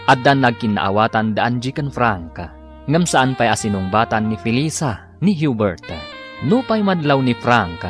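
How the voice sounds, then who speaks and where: A man narrating a recap for a radio drama in a measured, announcing way. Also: medium pitch.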